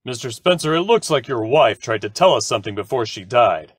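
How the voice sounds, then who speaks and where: Actor as a matter-of-fact police lieutenant, dry sound. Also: male voice, mid-range voice, expressive, fairly quick, slightly rough, no room sound. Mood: official, probing. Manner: formal, matter-of-fact questioning. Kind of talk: old-time radio drama acting.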